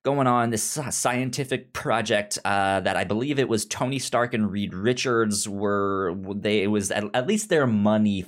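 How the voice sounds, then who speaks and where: A podcast host reviewing a book in casual chat, speaking haltingly and often stammering over repeated syllables. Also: medium pitch.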